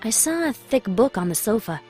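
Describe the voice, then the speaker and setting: A woman's voice: on the high side, sing-song, normal speed, darkish tone. Emotive young woman narrating over music. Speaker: first-person story narrator. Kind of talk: dramatic scripted narration.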